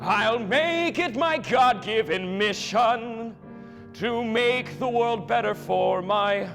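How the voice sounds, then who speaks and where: A man's voice: very high-pitched, slow pace, gravelly, fairly bright tone, full character voice. A man playing a guilt-ridden mayor and father in a stage musical, melodramatic and declamatory. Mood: anguished, remorseful. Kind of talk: theatrical musical acting. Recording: hall reverb, music behind.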